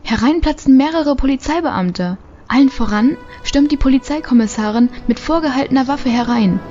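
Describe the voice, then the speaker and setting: A woman's voice: high-pitched, lively intonation, moderate pace, dark tone, highs cut off. A woman giving scripted, dramatic narration for a radio play. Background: music.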